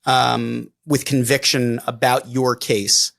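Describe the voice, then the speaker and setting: A middle-aged man with a New York accent, chatting casually as a podcast co-host, pausing and restarting often. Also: medium pitch, level tone, vocal fry, fairly bright tone. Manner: halting, many restarts and fillers. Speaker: defense attorney co-host.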